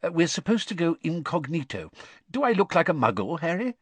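A male reader performs a kindly middle-aged British wizard dad from a storybook. His voice is raised and swooping, a little husky, as he eagerly explains things in fussy detail. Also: a little high, very animated, a little fast, fairly bright tone, no room sound, voice pushed up. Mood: anxious, eager. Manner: eager, chatty, matter-of-fact explaining.

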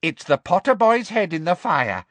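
A man's voice: high-pitched, very animated, normal speed, no echo, pitched above the performer's own voice. A man voicing a gleeful, spiteful old house elf servant with a wheezy voice in a storybook reading. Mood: furtive triumph. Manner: refers to himself in third person, gloating.

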